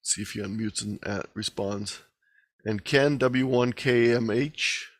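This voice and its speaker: A man with a mid-pitched voice gives an informal online presentation. The sound is dry, with very little room in it. He explains things in a conversational way, like someone fielding questions. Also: unhurried, no room sound. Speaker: guest presenter. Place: online video meeting.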